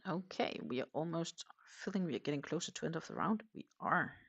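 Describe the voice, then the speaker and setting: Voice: mid-range voice, animated, brisk, vocal fry, fairly bright tone, breathy edge, no room sound, dull audio. A crochet livestream host from Denmark with a Danish accent, chatting casually and answering viewer comments while working. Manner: thinks aloud, with many fillers and trailing sentences.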